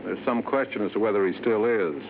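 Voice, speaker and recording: A man's voice: lowish voice, a little fast, close to an everyday voice. An actor playing a hearty but hesitant, wealthy American advertising man in a 1950s radio drama. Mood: guarded. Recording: tinny, noisy old radio recording.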